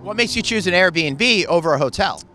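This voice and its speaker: A man's voice: high-pitched, lively intonation, moderate pace, pushed and bright, a little hiss or hum. A middle-aged man hosting street interviews outdoors in a city, holding a handheld mic and asking passersby questions in a jokey, upbeat way. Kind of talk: vox pop street interviews.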